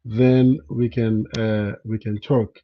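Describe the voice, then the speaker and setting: A man's voice: lowish voice, flat delivery, slow pace. A man from Ghana chatting live with viewers, repeating words and often asking if they understand. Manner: keeps checking in with "you understand?". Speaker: vlogger hosting a live chat. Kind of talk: casual live-stream chat with viewers. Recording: dull lo-fi sound.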